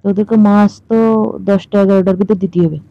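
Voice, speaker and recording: A woman's voice: medium pitch, steady tone, brisk, vocal fry. A woman in a casual, teasing, colloquial phone chat. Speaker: caller in a staged phone conversation. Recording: muffled lo-fi phone call recording.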